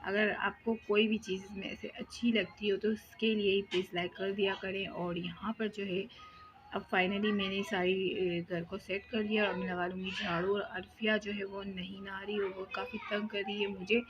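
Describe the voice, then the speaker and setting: A woman's voice: medium pitch, little pitch movement, normal speed, highs cut off, over music. A young woman chatting casually as she vlogs her housework at home, rambling with many fillers. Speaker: vlogger. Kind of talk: casual home vlog narration.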